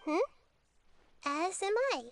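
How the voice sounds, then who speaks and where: A little girl goddess in a game, with a very high, small voice. She is gentle and calm, choosing her words with earnest care, over faint music. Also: exaggerated voice. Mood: calm, determined. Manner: measured, thoughtful, sincere. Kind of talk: English video game voice acting.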